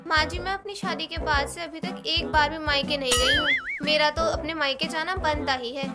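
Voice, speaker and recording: Lady as a pushy young bahu in a cartoon, high pitched, coaxing and plotting, music under it. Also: fairly even intonation, normal speed, close to an everyday voice. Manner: insistent, coaxing. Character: scheming, persuasive young daughter-in-law. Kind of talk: animated moral-story voice acting. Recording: music behind the voice.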